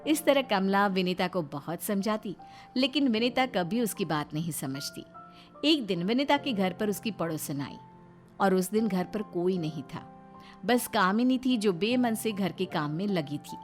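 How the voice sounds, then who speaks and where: A woman's voice: mid-range voice, animated, measured pace. A woman narrating a scripted family story as a storyteller. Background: music.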